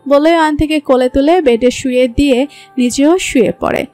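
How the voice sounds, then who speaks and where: High-pitched Bangladeshi woman narrating a story. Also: moderate pace, dark tone, faint music behind. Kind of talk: scripted romantic story narration.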